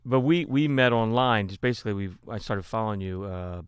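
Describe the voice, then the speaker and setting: A man's voice: fairly low pitch, brisk. A man hosting a podcast on a video call, asking questions in a rambling, jokey way with many restarts. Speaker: podcast host. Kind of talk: casual interview chat on a video call. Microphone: desk microphone on a video call.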